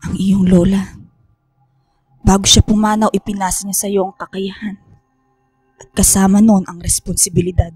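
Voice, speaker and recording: A husky woman's voice with little rise and fall, an actress as a solemn professor in a radio drama. She guides with grave, deliberate authority and a portentous edge. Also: medium pitch, level tone, a little mellow, no echo, faint music behind, close to an everyday voice. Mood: solemn, firm. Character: solemn, commanding female professor, mystical mentor.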